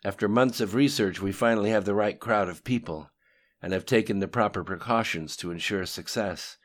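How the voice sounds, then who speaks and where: A cold, composed male conspirator, acted by a male audiobook reader, reports to a dark master. He is deferential yet unafraid and matter-of-fact, with his pitch lifted in emphatic dialogue. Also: a little fast, lightly acted. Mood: businesslike.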